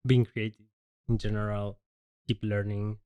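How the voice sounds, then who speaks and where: A man's voice: lowish voice, flat delivery, a little slow, mellow, clear, resonant tone. Easygoing male podcast host. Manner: easygoing, laughs at own mistakes, short backchannels. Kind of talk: casual interview chat.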